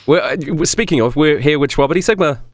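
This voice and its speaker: A man hosting a tabletop game as game master, chatting casually with a giggly, animated manner. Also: fairly high pitch, fast talker, no room sound.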